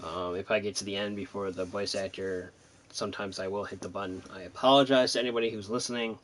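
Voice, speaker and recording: A man's voice: on the low side, fairly even intonation, fast talker, fairly bright tone. A man giving casual let's-play commentary in a laid-back, hesitant, rambling way. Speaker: gaming commentator.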